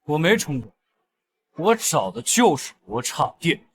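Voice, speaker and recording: A man's voice: on the high side, big pitch swings, unhurried, fairly bright tone. A man acting a confident young hero, a secret war lord in a melodrama, with a self-assured delivery. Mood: calm defiance. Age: young adult. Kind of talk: melodramatic short-drama acting.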